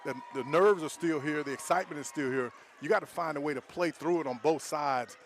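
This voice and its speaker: Slightly high-voiced American football analyst man. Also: a little fast, a little hiss or hum. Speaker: color analyst. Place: live at a football stadium.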